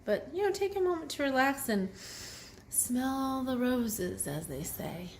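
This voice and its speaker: Female children's story reader, high voice, highly animated, expressive, addressing young listeners. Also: measured pace, slight background noise. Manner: expressive, asks the listeners questions. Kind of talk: read-aloud storytelling with asides to children.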